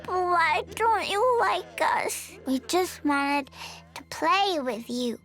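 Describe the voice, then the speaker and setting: A performer voicing a sweet, innocent small child in an animated game, with a plaintive, halting delivery. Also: very high voice, exaggerated voice. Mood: hurt, pleading. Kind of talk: animated game cutscene acting.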